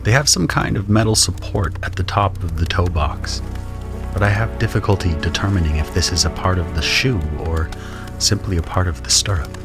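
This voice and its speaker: A grim conscript soldier telling his story in an audio drama. The voice is low and measured, solemn and watchful, with music running underneath. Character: grim, observant male conscript soldier narrating trench horrors. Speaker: narrator and soldier character. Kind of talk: first-person scripted audio drama narration.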